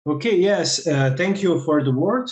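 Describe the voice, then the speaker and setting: A man's voice: on the high side, animated, darkish tone. A man in his thirties with a Slovenian accent, explaining his organization's work earnestly on a video call. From western Slovenia. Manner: earnest, explanatory, some halting. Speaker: panelist, cultural heritage organization representative. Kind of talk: panel discussion answer.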